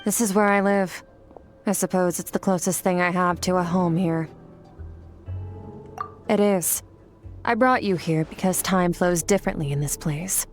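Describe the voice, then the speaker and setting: A cool, detached woman mentor, voiced by an actress with a lowish voice, keeping every word curt and businesslike. She explains things calmly and without warmth, over faint game music. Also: measured pace, pauses often, lightly acted. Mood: explaining. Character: aloof, cool-headed woman mentor from the Abyss. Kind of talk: video game voice acting.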